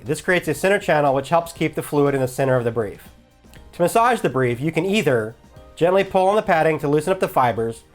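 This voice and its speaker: A man reading scripted instructional narration for a product review in a measured, explanatory manner. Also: on the high side. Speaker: host of a product review channel.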